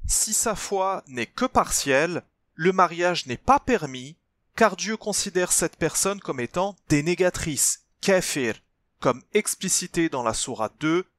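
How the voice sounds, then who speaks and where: Man, fairly high voice, very lively intonation, didactic scripted narration, emphatic delivery. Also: unhurried, no room sound. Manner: didactic, emphatic, addresses the listener directly. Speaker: presenter of a religious commentary channel. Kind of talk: scripted explanatory narration, step-by-step textual analysis.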